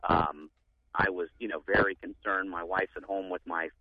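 A man calling in to a radio show, speaking haltingly with many fillers. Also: low voice, steady tone, no echo, highs cut off. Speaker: caller. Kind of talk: call-in radio talk.

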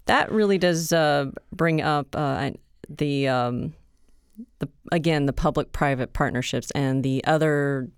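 A panel moderator with a smooth, resonant voice at a mid pitch. They joke lightly and pause with fillers while framing the next question. The sound is dry and close. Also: no room sound. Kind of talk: panel discussion.

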